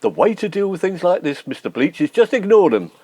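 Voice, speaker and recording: A man's voice: high-pitched, sing-song, fairly quick, a little mellow, dry recording. An actor playing a pompous, sarcastic, snobbish English man, blustering and mock-reassuring in an old-fashioned radio sitcom style.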